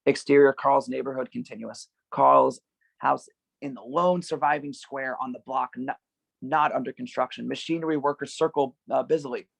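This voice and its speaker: A man with a gravelly voice reading stage directions as the narrator of a script table read. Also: medium pitch, fairly even intonation, normal speed, no room sound.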